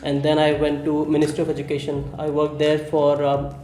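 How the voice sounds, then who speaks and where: A man from India, a software engineer, talking casually as an interview guest, often pausing with "uh" as he recalls details. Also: fairly high pitch, echoey room. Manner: relaxed, many "uh" pauses while recalling.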